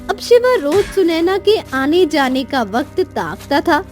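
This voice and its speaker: A woman with a very high voice reads a scripted story. Her narration is slow and lively in pitch, over faint music.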